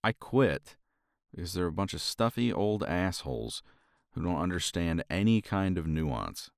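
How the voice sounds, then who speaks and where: Casting: deep, gravelly-voiced man, tender partner roleplay, lively intonation. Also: a little slow, no room sound, studio quality. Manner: tender, reassuring partner talk to a listener. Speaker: ASMR roleplay performer. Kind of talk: romantic ASMR roleplay, intimate soft talk.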